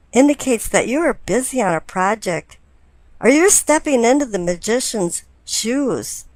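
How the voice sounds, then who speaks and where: A woman giving a tarot card reading, explaining it deliberately, phrase by phrase. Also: mid-range voice, no echo. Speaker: tarot reader.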